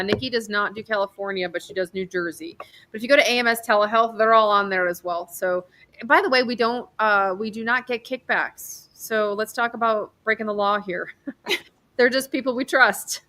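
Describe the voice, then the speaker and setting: Bright chatty woman doctor. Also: on the high side, moderate pace. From New York state, USA. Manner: chatty, rambling, excitable, swears casually. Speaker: physician hosting a telehealth livestream. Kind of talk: casual livestream chat. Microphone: video call.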